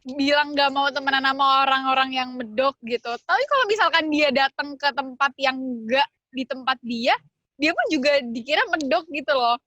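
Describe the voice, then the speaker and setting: A young woman from Java joins a podcast chat, quick to agree and chime in. Her voice is high and bright, and it is recorded dry and close. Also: dry recording, poor audio. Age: young adult. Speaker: podcast co-host. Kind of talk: casual chat.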